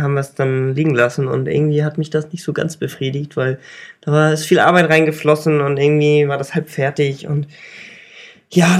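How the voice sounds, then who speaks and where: A young man co-hosting a casual chat, telling things in a relaxed, matter-of-fact way with some fillers. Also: a little high. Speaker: podcast co-host.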